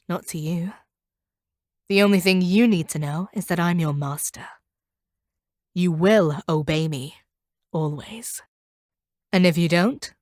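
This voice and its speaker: A woman playing a proud, cold monster hunter in an audio roleplay, speaking to a captive in a measured, condescending and domineering way. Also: fairly low pitch, a little mellow, no room sound, studio quality, close to an everyday voice. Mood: stern, commanding. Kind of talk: scripted audio roleplay monologue.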